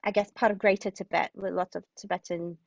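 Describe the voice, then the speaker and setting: A woman from Hong Kong giving thoughtful interview answers as a guest playwright, often halting and restarting mid-sentence. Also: medium pitch, little pitch movement, gravelly, no echo, muffled recording.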